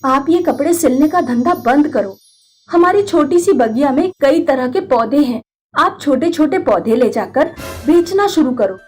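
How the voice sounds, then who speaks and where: A woman's voice: high voice, lively intonation, measured pace, darkish tone, soft background music. A woman voicing a practical, encouraging village wife in a storybook tale. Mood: persuasive.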